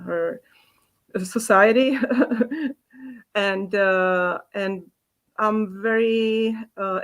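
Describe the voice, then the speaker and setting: Hesitant woman from the Czech Republic. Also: mid-range voice. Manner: hesitant, many fillers. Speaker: hackathon participant with a marketing background. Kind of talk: self-introduction on a video call.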